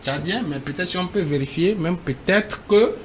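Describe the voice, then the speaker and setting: Man debating, higher voice, noisy sound. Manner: halting, repeats himself, emphatic. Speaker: panelist in a TV debate. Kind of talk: debate commentary.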